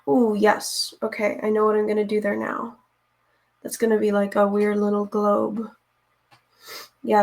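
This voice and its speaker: A woman with a raspy, hoarse voice chats casually with viewers while she paints. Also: mid-range voice, darkish tone. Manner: chatty, talks to viewers while working, often unsure what to do next. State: hoarse from reading aloud. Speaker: streamer painting live.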